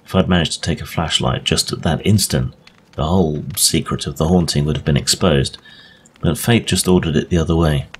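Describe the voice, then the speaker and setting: A man reads the part of a genial gentleman ghost hunter with an extremely deep voice. He explains his case conversationally, in old-fashioned storybook phrasing, over clear recording noise. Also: a little fast. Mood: explaining.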